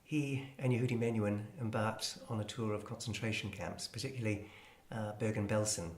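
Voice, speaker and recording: Male presenter, low voice, scholarly and measured, explaining classical music in an echoing room. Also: fairly even intonation, darkish tone. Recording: roomy, echoing space.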